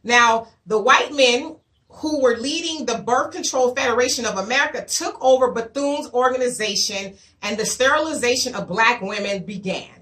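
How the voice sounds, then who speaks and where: A woman hosting her own commentary show, a little hoarse as her voice comes back, talking emphatically to viewers and asking rhetorical questions. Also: fairly high pitch, normal speed, a bit bright, distant mic. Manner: emphatic, rhetorical questions, reads quotes aloud. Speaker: show host.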